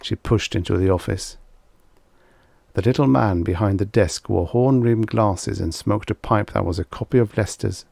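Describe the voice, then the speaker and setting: A man's voice: lowish voice, moderate pace, creaky, warm tone, no room sound. A man reading a vintage science fiction short story as scripted audiobook narration.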